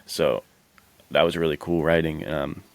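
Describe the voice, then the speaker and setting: A man's voice: rumbling low, fairly even intonation, measured pace, a little hiss or hum. A man co-hosting a podcast, chatting casually about movies in a slangy, enthusiastic way. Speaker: podcast co-host.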